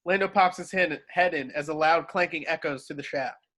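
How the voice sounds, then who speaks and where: Male narrator, slightly high voice, dry close sound, reading scene directions from a script. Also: moderate pace, a bit bright, no echo.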